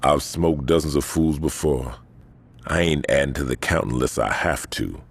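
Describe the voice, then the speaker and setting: An actor playing a hardened male gang boss in a video game, calm, dry and menacing, never in a hurry. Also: rumbling low, husky, heavily acted. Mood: cool. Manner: slow, menacing, dry and unbothered. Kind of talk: video game cutscene acting.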